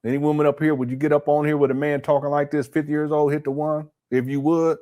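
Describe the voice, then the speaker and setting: A man's voice: a little high, level tone, fast, warm tone. A man commenting live on a video clip, laughing often and teasing with sarcasm. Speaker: commentator reacting to a livestream.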